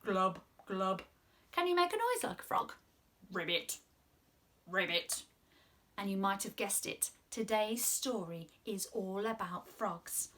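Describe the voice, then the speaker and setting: A British woman in her twenties hosting children's story time, speaking in an animated, sing-song way with exaggerated expression. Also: mid-range voice, slow. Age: 20s. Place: small room at home, indoors.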